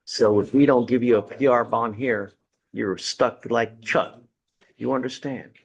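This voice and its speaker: A man's voice: mid-range voice, fairly even intonation, unhurried, vocal fry, dull audio. A man serving as judge in a courtroom, lecturing a defendant from the bench with blunt sarcasm and folksy sayings.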